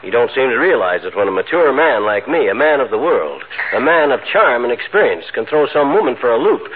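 An actor playing a vain, folksy middle-aged American husband who blusters and boasts in a 1940s radio comedy. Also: male voice, medium pitch, sing-song, brisk, lightly acted. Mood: boastful. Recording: tinny, noisy 1940s radio recording.

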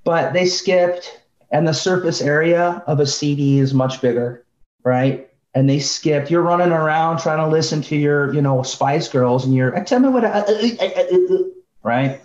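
A middle-aged man co-hosting a podcast, debating in casual, slangy, animated storytelling style. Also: a little high, moderate pace. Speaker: podcast co-host. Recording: roomy, dull lo-fi sound.

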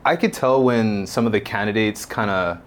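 A male interviewer with a low voice puts his questions in a loose, relaxed way, leaning on casual slang. A faint hiss runs underneath. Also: normal speed.

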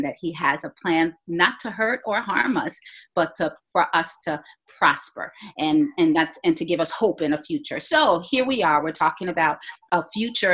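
A woman's voice: lowish voice, a bit bright. A woman from Jamaica, a reverend guesting on a podcast, speaking earnestly in a preacherly way. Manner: earnest, preacherly, with fillers and restarts. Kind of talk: conversational interview.

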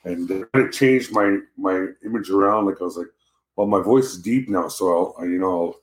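A man in his thirties, a country singer with a deep voice, who sings and then talks in a rambling way with many restarts. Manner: rambling, restarts, quick bursts of talk. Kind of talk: singing then casual chat. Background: guitar.

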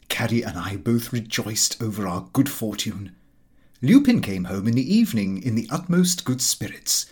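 A man reading a comic diary aloud as expressive audiobook narration. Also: mid-range voice, husky. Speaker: audiobook reader.

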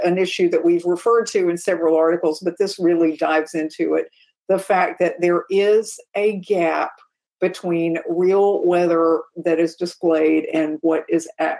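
A woman's voice: fairly low pitch, a little mellow. A woman co-hosting an aviation talk show, explaining points in a casual but emphatic way. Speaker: podcast co-host.

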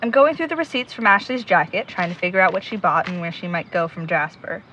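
An actor playing a determined, easily exasperated young woman leading a search, with brisk, no-nonsense delivery in a naturalistic audio drama. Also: lowish voice, sharp tone, muffled recording, slight background noise. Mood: focused.

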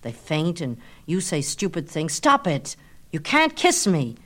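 A woman's voice: sits low, wildly swooping pitch, measured pace, a bit bright, heavily acted. A woman voicing a vain, scornful young man of Greek myth in an impulsive, emphatic way, as in a storybook reading. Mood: scornful, impatient. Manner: emphatic, exclamatory.

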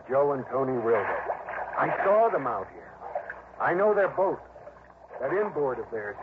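A grim, anxious American boatman, played by a male actor in a mid-century radio drama. His voice sits fairly high, hesitant yet insistent, weighing each phrase. The vintage recording is muffled and clearly noisy. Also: close to an everyday voice. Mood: grim, bitter. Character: anxious, grim boatman guarding a dark secret. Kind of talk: 1950s-60s American radio drama acting.

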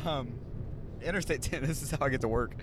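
A man's voice: medium pitch, a little fast. Male podcast host, rambling and jokey, handheld mic in a moving car, faint road hum. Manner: joking, rambling. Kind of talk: casual chat. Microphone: handheld mic passed around.

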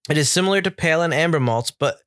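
Brief: playful co-host, mid pitch, dry close mic, quick teasing banter. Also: fairly bright tone, no echo. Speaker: beer podcast co-host. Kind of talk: casual chat.

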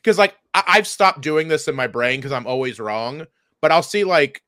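Man, comedy podcast co-host, casual, bright tone, repeats words, casual swearing. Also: a little high, animated, moderate pace, dry recording, studio quality. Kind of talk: casual chat.

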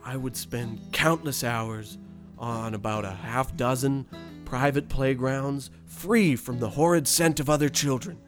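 A man's voice: mid-range voice, natural voice. Man playing a wistful old storyteller over guitar music. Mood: fond nostalgia. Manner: wistful, unhurried, genteel. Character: nostalgic, privileged, oblivious old man reminiscing about boyhood. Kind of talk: folksy radio storytelling. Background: plucked guitar music.

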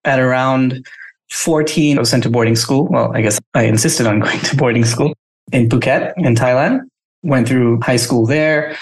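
A man's voice: medium pitch, poor audio. A man from Singapore, a guest on a podcast, telling his story casually and hedging with "you know" and "sort of". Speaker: guest, agency founder.

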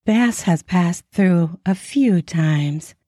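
A man's voice: high voice, expressive, very slow, mellow, no echo, studio quality, pitched above the performer's own voice, exaggerated voice. A man voicing a bawdy, sly frontier barkeep woman with a Minnesota accent and a teasing, drawling delivery. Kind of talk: audiobook character voice.

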